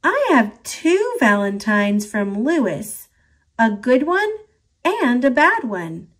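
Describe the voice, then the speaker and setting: A bossy young schoolgirl from a storybook, read by a woman, states things plainly. Her voice sits a bit high and moves in lively lines. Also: takes it slowly, exaggerated voice. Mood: complaining.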